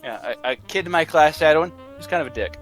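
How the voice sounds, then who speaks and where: A man's voice: a little high, lively intonation, fast talker, faint music behind. A young man bantering casually, blunt and joking with strong opinions. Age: young adult.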